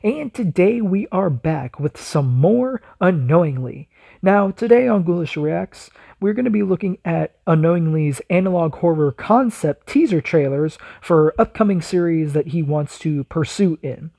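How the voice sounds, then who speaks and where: Husky man hosting casual reaction videos. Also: on the high side, expressive, unhurried, dark tone, no echo.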